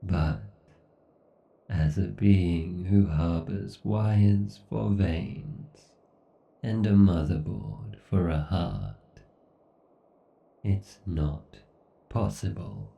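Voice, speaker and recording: Man voicing a slow, gravelly, obsessive AI god villain. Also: deep voice, animated, distant mic, lo-fi sound, heavily acted. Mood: bitter, cold. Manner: slow, menacing, brooding delivery with deliberate pauses. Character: obsessed, villainous male AI god ruling a scorched apocalypse. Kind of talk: horror ASMR roleplay monologue.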